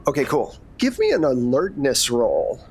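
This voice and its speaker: A man's voice: mid-range voice, huge pitch swings, normal speed, gravelly, noisy background. Chatty male game master narrating. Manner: informal, chatty. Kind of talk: narrating a tabletop game, conversational.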